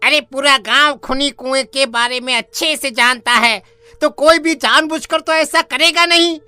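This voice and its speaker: A woman's voice: sits high, measured pace, bright, forceful tone, no room sound, soft background music. A woman playing an anxious, insistent villager explaining things in a cartoon horror story. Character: anxious villager reasoning with neighbours.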